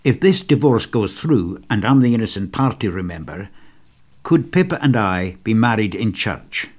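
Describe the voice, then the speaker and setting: A man's voice: on the low side, sing-song, moderate pace, pitched below the performer's own voice. A man voicing a troubled, blunt middle-aged husband who talks in a clipped, tense way.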